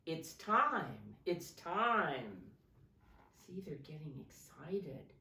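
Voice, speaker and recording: A woman teacher reading a picture book aloud to preschoolers, expressively, pausing to explain simply and ask the children questions. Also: sits low, very animated, bright, forceful tone. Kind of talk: storytime read-aloud for young children. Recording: roomy, echoey sound, distant from mic.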